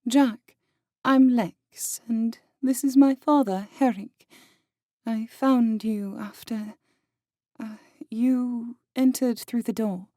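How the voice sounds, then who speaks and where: An actress voicing a kind young village woman who comforts a rescued stranger, gentle and reassuring in an audiobook drama. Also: on the high side, steady tone, takes it slowly, dry recording, clean studio sound. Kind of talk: audiobook character acting.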